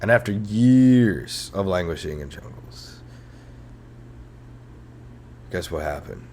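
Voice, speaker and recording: A man's voice: low-pitched, expressive, unhurried, a little mellow, a little hiss or hum. A man hosting a comedic history podcast in a rambling, sarcastic, self-mocking monologue. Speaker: podcast host.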